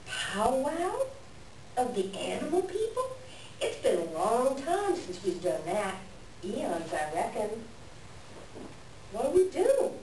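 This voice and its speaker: A woman's voice: fairly high pitch, huge pitch swings, takes it slowly, long pauses, a little mellow, exaggerated voice. A woman playing a sly, selfish coyote trickster in a staged folktale reading, with folksy, boastful, wheedling talk. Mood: surprised, curious. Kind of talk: staged reader's theater. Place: live reading in a library room. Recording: echoey room, distant mic, background noise.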